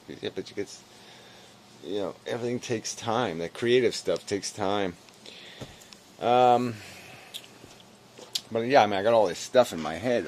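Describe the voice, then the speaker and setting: A man rambling casually in a weary, low-energy, self-deprecating way, halting and swearing as he talks to camera. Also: a little hiss or hum. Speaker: video blogger.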